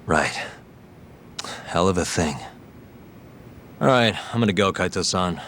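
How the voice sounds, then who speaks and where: An actor playing a cool-headed, casual investigator and loyal friend in a video game, matter-of-fact. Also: male voice, deep voice, unhurried, fairly bright tone, slight background noise. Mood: resigned. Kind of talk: dramatic game voice acting.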